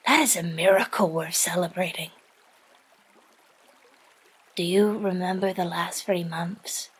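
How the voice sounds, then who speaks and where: A woman playing an earnest, fiercely supportive woman in an audio drama, speaking with tender, emphatic reassurance in a husky, breathy voice. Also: fairly low pitch, a bit bright, full character voice, poor audio.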